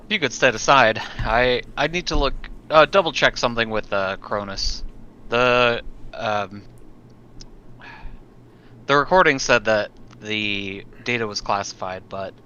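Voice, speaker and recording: A man's voice: medium pitch, measured pace, sharp tone. A man playing a tabletop roleplaying game, chatting casually and speaking for his character. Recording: muffled, with background noise.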